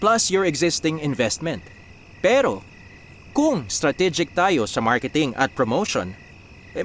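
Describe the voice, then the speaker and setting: A confident, driven Filipino business strategist in a radio drama. His voice sits slightly high for a man and he explains with English phrases mixed in, over faint background noise with crickets. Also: a bit bright. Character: confident, driven young male business strategist pitching a plan.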